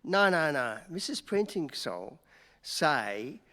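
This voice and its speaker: A man's voice: a little high, very animated, takes it slowly, pushed and bright, heavily acted. A man playing a tipsy, sardonic newspaper reporter in a comic radio play, mock-grand and tripping over his words. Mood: tipsy, bossy. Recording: reverberant hall.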